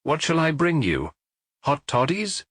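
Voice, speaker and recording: Guy reading as chatty barkeep bloke, confiding, pitched up a bit, story audiobook, lively swings. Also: unhurried, slightly rough, no echo, studio quality, heavily acted. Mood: obliging. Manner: familiar, confiding. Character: chatty, knowing male dance-hall proprietor behind his bar. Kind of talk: audiobook character dialogue.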